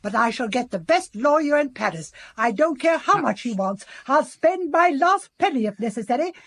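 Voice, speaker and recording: Haughty mother, high, swooping voice. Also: expressive, moderate pace, a bit bright, full character voice. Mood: fiercely protective. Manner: imperious, indignant, clipped. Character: haughty, fiercely protective older mother, defiant. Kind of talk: British radio drama acting.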